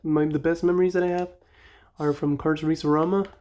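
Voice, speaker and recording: A man's voice: on the high side, little pitch movement, a little fast, a little mellow. A young man with a Mexican accent, chatting casually and nostalgically while playing a game, often correcting himself mid-sentence. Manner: rambling, nostalgic, self-correcting. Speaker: gaming channel host.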